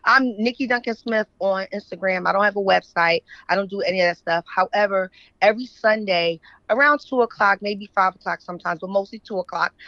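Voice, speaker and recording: A woman's voice: medium pitch, fairly bright tone, dry recording. American woman in dull, lo-fi audio. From Philadelphia, USA. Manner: conversational, often checks in with "right?". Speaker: interview guest, author and editor. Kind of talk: casual storytelling in an interview.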